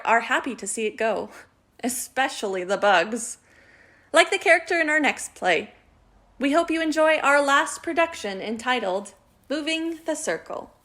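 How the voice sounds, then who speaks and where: A woman's voice: high-pitched, a bit bright. A woman hosting a student radio play show, introducing the next production. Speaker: host introducing the plays.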